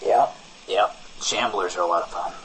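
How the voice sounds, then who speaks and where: A man's voice: sits low, moderate pace, fairly bright tone, slight background noise, low-quality recording. A man co-hosting a comedy podcast, improvising mock-earnest banter. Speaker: podcast co-host.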